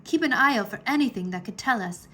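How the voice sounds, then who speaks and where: A woman's voice: a little high, expressive, fast, sharp tone. A woman voicing an earnest, commanding boy who leads a moon dragon in a storybook.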